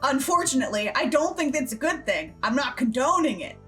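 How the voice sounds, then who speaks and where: A young woman hosting a casual group chat in an animated, jokey way, often acting out what people said. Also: high-pitched, a little fast. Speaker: host and writer of a fan audio drama. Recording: background music under the talk.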